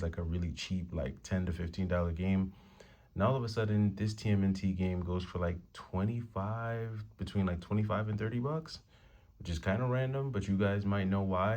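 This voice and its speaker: Hushed deep-voiced man showing off games. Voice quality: deep rumbling voice. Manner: quiet, hushed talk to avoid waking the family. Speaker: retro game collector vlogger. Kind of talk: casual haul show-and-tell. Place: room at home at night.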